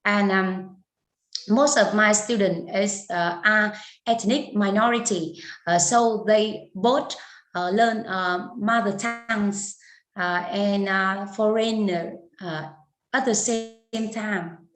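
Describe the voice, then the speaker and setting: Hesitant, slow-speaking woman in an echoey room. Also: mid-range voice, fairly even intonation. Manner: hesitant, searching for words. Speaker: English teacher being interviewed. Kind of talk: halting spoken answers in an online interview. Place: indoor room on an online video call. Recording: roomy, echoing sound.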